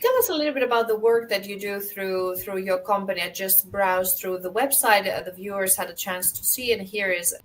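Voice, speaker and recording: A woman's voice: mid-range voice, a little fast, hardly any pauses, a little hiss or hum. A woman hosting a video podcast who asks questions in a friendly, conversational way over a video call. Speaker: podcast host. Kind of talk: casual interview chat.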